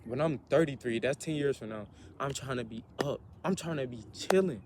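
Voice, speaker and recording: A man's voice: mid-range voice, a little fast, a little mellow, a little hiss or hum. A man in his twenties giving an earnest, motivational monologue for a vlog, repeating himself as he talks through his thoughts. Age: 20s. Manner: earnest, repetitive, self-motivating. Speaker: vlogger.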